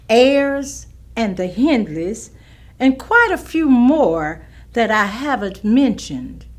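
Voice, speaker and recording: Very slow, high-voiced elderly woman played by an actress. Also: full character voice. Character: elderly woman recalling neighborhood's old families. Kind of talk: staged reading of oral history monologue.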